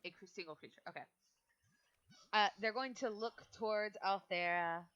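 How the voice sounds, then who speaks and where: A woman's voice: medium pitch, normal speed, fairly bright tone, clear, resonant tone, muffled recording. A woman running a tabletop game as game master, casually switching between narration and rules talk in an informal way.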